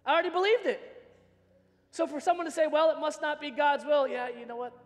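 A middle-aged American man preaching a personal, emphatic sermon with dramatic dynamics, as a pastor on a large church stage. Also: very high-pitched. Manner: emphatic, dramatic swings from hushed to shouting. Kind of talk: impassioned sermon with personal storytelling. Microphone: worn microphone, hands free. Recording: reverberant large hall sound system.

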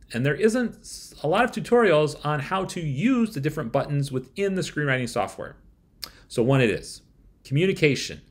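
A man in his thirties giving a frank, critical software review from a room at home, talking into a desk webcam. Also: medium pitch. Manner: frank, critical, plain-spoken. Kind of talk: casual video review. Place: home room with window blinds.

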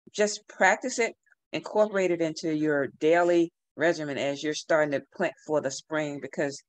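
A middle-aged woman giving relaxed, repetitive gardening advice over a video call from a room at home. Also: deep voice, dry recording. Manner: relaxed, advising, repeats herself. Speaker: gardening speaker. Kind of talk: casual online talk. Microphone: video call webcam.

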